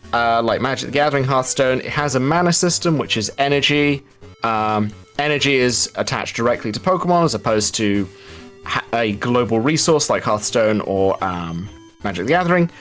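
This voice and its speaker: A man's voice: medium pitch, expressive, moderate pace, a bit bright. Guy doing casual speedrun commentary, explains stuff in a wry chatty way, some music in the back.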